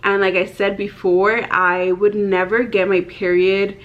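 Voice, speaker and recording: A woman's voice: medium pitch, flat delivery, a little slow. Chatty woman of thirty, slightly dull audio. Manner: chatty, candid, many "like" fillers. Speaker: vlogger. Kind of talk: casual talk to camera. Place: bedroom, indoors. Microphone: camera in front, head and shoulders.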